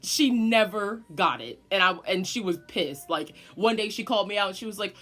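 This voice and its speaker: A woman's voice: mid-range voice, moderate pace, faint music behind. An eighteen-year-old woman telling a dramatic storytime to her vlog viewers, swearing freely. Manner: animated, dramatic, swearing often. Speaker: vlogger. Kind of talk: casual storytime.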